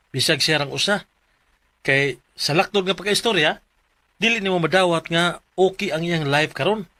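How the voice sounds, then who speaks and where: A blunt, teasing best friend in a Philippine radio drama. He has a fairly high, bright, forceful voice with a Cebuano accent, recorded dry and close. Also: no room sound. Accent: Cebuano Filipino. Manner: frank, needling.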